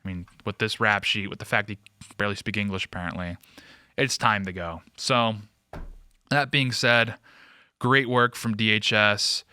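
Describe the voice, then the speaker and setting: A man's voice: on the low side, fairly even intonation, normal speed, bright, forceful tone, clean tone, dry recording. A man hosting a political commentary show, talking casually and off the cuff in a sarcastic, mocking way.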